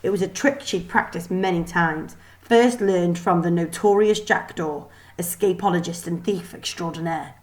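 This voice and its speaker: A woman reading a children's novel aloud as expressive scripted narration. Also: lowish voice, moderate pace, few pauses, low-quality recording. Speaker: storybook reader.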